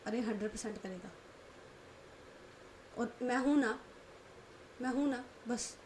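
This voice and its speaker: A woman doing a soft, soothing protective young woman. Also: fairly high pitch, unhurried, a little hiss or hum, close to an everyday voice. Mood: reassuring. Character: calm, protective young woman comforting her love.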